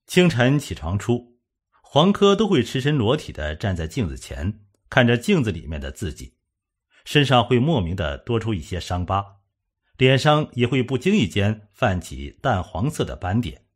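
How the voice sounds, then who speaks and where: A man with a husky voice reading a scripted suspense story as an audiobook narrator. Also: lowish voice.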